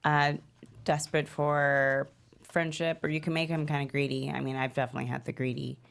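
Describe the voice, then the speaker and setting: A woman's voice: low-pitched, little pitch movement, fairly quick, gravelly. A woman giving feedback in a casual workshop discussion, informal and emphatic, repeating phrases to make her point. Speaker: panelist giving script notes at a table read.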